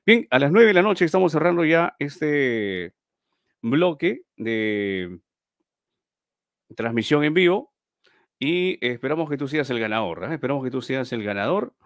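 A man's voice: medium pitch, big pitch swings, unhurried, no room sound, studio quality. A middle-aged man hosts a live stream giveaway from a small room on a webcam, chatting, repeating himself and reading out viewers' names.

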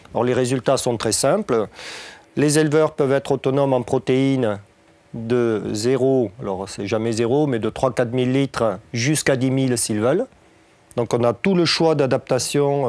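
A man's voice: mid-range voice, darkish tone. A man in his forties with a light southwestern French accent leads a farming workshop, talking easily to his audience with many fillers. His voice is dry and close on a lapel mic, with no room echo. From southwest France. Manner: conversational, many fillers and tag questions. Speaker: farm trainer. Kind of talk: training workshop talk.

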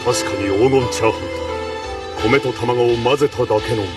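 Anime imperial judge, male, stern, authoritative, mid-pitched, loud score behind male actor. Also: a little fast, close to an everyday voice. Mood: solemn awe. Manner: solemn, authoritative, formal. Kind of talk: anime acting. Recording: distant sound under loud music.

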